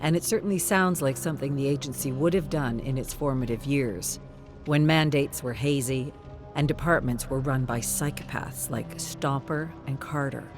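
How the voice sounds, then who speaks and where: Narrator for dark suspense fiction: medium pitch, measured dramatic read, light music bed. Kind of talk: dramatic scripted audiobook-style narration.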